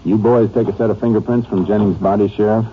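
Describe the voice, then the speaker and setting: A man playing a calm, persistent Texas Ranger in an old-time radio crime drama, with terse, businesslike questioning. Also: on the low side, fast talker, close to an everyday voice. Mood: probing, calm. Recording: tinny, noisy old radio recording.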